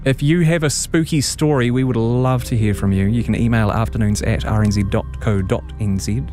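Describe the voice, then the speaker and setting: A man hosting a radio phone-in, playful and jokey with lively reactions. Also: medium pitch, fairly quick, music in the background. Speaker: radio host. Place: radio studio.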